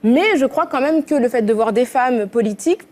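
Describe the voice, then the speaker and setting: A woman with a Parisian accent, speaking as a guest author on a TV panel, quick and animated with many restarts. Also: fairly high pitch. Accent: Parisian French. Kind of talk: TV panel discussion.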